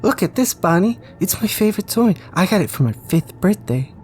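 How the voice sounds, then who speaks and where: A man's voice: high-pitched, big pitch swings, brisk, a little mellow, a little hiss or hum, voice pushed up. A man voicing a small, kind-hearted, shy child in an audio drama, speaking hesitantly and stumbling over words. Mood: gentle, earnest. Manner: hesitant, stammering and repeating words. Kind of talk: audio drama character dialogue.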